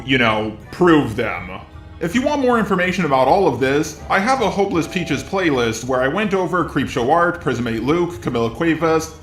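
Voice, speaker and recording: A man's voice: fairly high pitch, a bit bright. Echoey synthetic male robot narrator. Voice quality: synthetic robot text-to-speech voice. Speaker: commentary video narrator. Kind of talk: scripted drama commentary narration. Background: background music.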